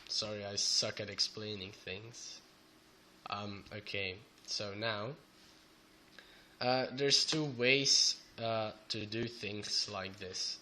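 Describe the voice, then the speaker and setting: A young man with a Belgian Dutch accent, sick and a little off in voice, walks through code at a slow, halting pace full of fillers. His voice is fairly low yet bright. Faint noise and keyboard typing sit behind him. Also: steady tone. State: sick, voice sounds off. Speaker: programming tutor. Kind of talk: screen-recorded coding tutorial. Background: keyboard typing and clicks.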